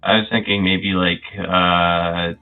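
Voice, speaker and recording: Low, creaky, hesitant man on tinny audio. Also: level tone, slow. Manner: hesitant, trailing off. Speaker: tabletop roleplaying player. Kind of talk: casual game chat. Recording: muffled, phone-like band-limited sound.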